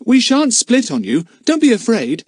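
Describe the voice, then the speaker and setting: A man's voice: very high voice, huge pitch swings, normal speed, darkish tone, breathy edge, no room sound, pitched above the performer's own voice, heavily acted. A man voicing an earnest young boy who pleads and bluffs, read in a storybook. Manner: eager, pleading. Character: earnest young boy, brave but desperate. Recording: dry close mic.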